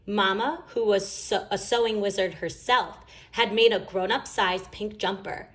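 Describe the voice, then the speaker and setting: A woman reading a children's story aloud in an expressive, emphatic storytelling manner. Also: mid-range voice, a little slow. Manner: animated, emphatic. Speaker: storybook reader.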